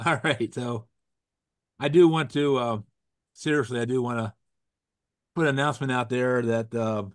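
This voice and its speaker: A man's voice: mid-range voice, steady tone, moderate pace, long pauses, dry recording, clean studio sound. Froggy man hosting a video call. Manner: rambling, restarts, corny puns. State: getting over a cold, froggy. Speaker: host. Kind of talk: casual chat with jokes.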